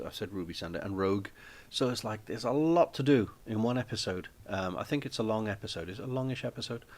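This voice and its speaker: Man, low-pitched, rambling live-stream chat, frequent ums and restarts, home setting. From Wales. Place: small flat at home.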